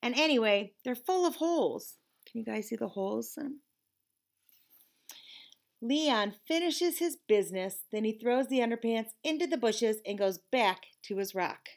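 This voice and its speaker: A woman's voice: on the high side, expressive, measured pace. A middle-aged woman teacher reading a picture book aloud to children expressively, with conversational asides. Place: classroom, indoors.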